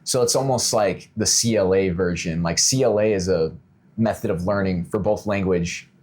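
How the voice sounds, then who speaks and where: A man's voice: sits low, moderate pace, husky. A man chatting casually as the interviewer in a podcast conversation.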